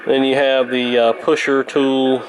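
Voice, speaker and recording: A man's voice: mid-range voice, level tone, unhurried, rough recording. A man giving a casual, unscripted repair demonstration in a folksy, rambling, hesitant way. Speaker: host of a vintage radio repair channel.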